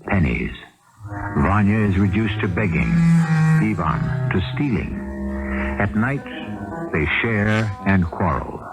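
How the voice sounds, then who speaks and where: Guy narrating old radio play, lowish voice, really slow, loud music behind. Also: very animated, low-quality recording. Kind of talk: scripted radio drama narration.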